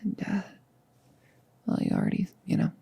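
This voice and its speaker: A woman playing a tender, nervous girlfriend turning vampire in an ASMR audio roleplay, speaking in a breathy, hesitant, often whispered voice. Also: natural voice. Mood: nervous, wry. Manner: hesitant, halting, soft-spoken.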